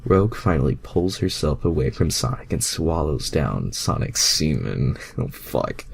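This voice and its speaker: A man with a very low, husky voice reads a story aloud at a slow pace. He narrates as an amateur and breaks off into self-mocking, exasperated, sweary remarks. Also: sing-song. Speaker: amateur fan fiction reader.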